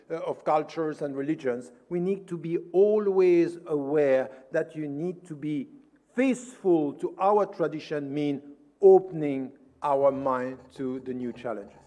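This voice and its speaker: A man's voice: fairly high pitch, measured pace, dark tone. A man from Switzerland giving a formal conference lecture in an emphatic, didactic manner, posing questions and answering them. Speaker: invited speaker at a conference. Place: conference hall, indoors. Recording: reverberant large hall.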